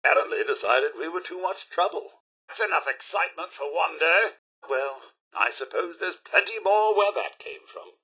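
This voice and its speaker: Fussy raspy British robot butler, high-pitched. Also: male voice, expressive, moderate pace, sharp tone, heavily acted. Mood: wry, put-upon. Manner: formal, pompous, deferential, exclamatory. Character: fussy, eager robot butler, male, loyal and proper. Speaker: companion servant in a video game. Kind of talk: theatrical video game voice acting.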